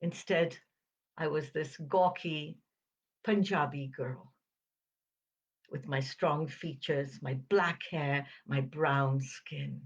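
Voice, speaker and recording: Female, 70s, upper-crust British. Slow, reflective storyteller, precise diction, pauses between phrases, slightly muffled recording. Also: deep voice. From Uganda. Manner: crisp enunciation, measured phrasing with deliberate pauses. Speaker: podcast host. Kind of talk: reflective personal storytelling monologue.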